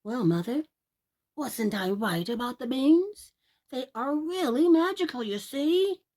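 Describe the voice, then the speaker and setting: A hungry young storybook boy, played by a woman, high and lively in pitch. He is polite and earnest, with a wheedling, eager way of asking. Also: measured pace. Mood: proud.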